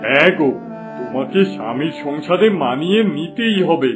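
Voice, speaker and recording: পুরুষকণ্ঠে চড়া গলার কড়া গ্রাম্য মোড়ল. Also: male voice, fairly high pitch, lively intonation, normal speed, music in the background, lightly acted. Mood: firm, commanding. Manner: authoritative, scolding. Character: stern, commanding male village headman.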